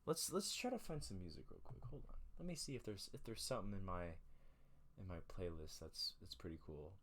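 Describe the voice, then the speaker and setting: Deep-voiced rambling male music host. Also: big pitch swings, a little fast, a bit bright. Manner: rambling, self-conscious, laid-back. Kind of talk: casual music show chat.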